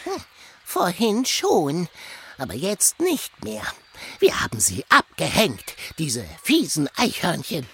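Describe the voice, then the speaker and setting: A woman voicing a small, childlike animal companion with a raspy, croaky voice in a children's radio play, timid yet plucky and drawn out. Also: on the low side, huge pitch swings, slow pace, a bit bright, heavily acted. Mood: relieved.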